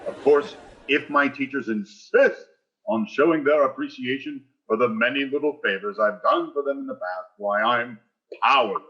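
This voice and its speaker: A man's voice: mid-range voice, normal speed, sharp tone, highs cut off, lightly acted. An actor playing a pompous, greedy male school principal in 1940s radio sitcom style, florid and insinuating with false magnanimity. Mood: slyly wheedling.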